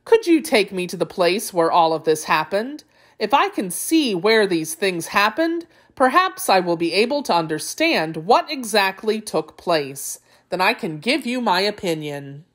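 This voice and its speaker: Sly jackal for a storybook, played by a woman: polite, dithering, playing confused, with very animated swooping pitch. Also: a little slow. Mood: puzzled, polite. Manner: polite, dithering, feigning bewilderment. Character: sly jackal feigning befuddled, fretful confusion. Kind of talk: storybook character voice.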